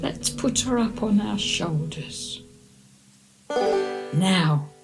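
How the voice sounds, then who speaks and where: Slow-paced woman reading to young children. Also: mid-range voice, creaky, loud music behind the voice, rough recording. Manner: expressive, deliberate, sing-song with hushed whispers. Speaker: children's story reader. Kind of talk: animated chanted story reading for young children.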